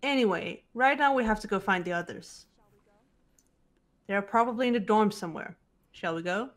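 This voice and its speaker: A woman voicing a composed, analytical teenage girl in a measured, formal way for a fan-voiced visual novel. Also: mid-range voice, close to an everyday voice. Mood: resolute.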